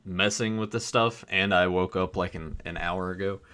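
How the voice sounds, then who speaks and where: A man's voice: sits low, normal speed, clear, resonant tone. A man co-hosting a podcast in casual chat, sounding a little stuffy, rambling with plenty of fillers. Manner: relaxed, rambling with many fillers. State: stuffy from allergies. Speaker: podcast co-host.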